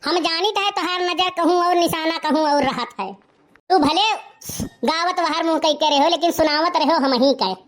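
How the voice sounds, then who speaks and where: Woman playing a high-voiced scolding Awadhi village auntie. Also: normal speed. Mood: indignant. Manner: scolding, sarcastic. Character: scolding, sharp-tongued, exasperated village mother or aunt. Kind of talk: comic cartoon dialogue.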